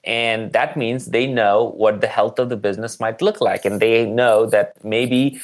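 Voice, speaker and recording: A man's voice: fairly low pitch, steady tone, measured pace. A man speaking conversationally as a podcast guest and marketing expert, explaining with examples and repeating words for stress.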